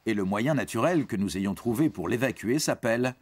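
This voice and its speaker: A man's voice: fairly low pitch, lively intonation, normal speed, slight background noise. A man giving scripted educational narration for young teens in a reassuring, explanatory manner. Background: background music.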